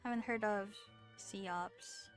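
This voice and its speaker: Young female game streamer, mid-pitched, casual, musing live chat, light music underneath. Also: takes it slowly. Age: young adult.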